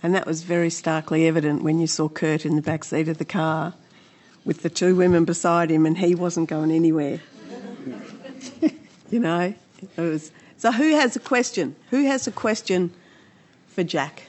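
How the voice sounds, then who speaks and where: A woman's voice: sits low, fairly even intonation, moderate pace, darkish tone. A woman moderating an audience Q&A, inviting questions in short, brief lines. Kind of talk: hosting audience Q&A.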